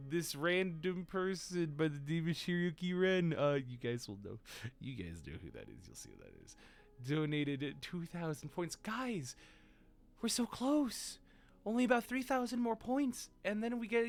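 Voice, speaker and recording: A young adult man hosting a casual livestream, tired and exhausted, rambling in a playful, self-mocking way, his voice deeper than usual today. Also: big pitch swings, normal speed. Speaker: stream host.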